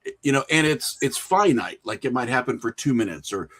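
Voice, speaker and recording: A man's voice: medium pitch, expressive, a little fast, a bit bright. A middle-aged man talking casually and reflectively as an interview guest, telling things in an informal, anecdotal way with a bit of chuckling. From small rural town. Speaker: podcast guest, horror filmmaker and writer.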